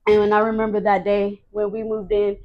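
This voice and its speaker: Soft-spoken woman, slightly dull sound. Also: medium pitch, monotone, fairly quick. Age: 30s. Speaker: vlogger, expecting mother. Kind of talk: casual couple Q&A chat.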